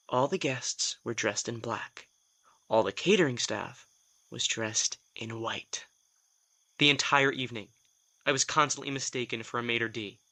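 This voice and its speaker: A man's voice: mid-range voice, measured pace, pauses often, pushed and bright. A man acting a reluctant vampire in an audio drama, a sardonic, put-upon office worker who tells things in a wry, hesitant, self-deprecating way. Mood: wry, embarrassed.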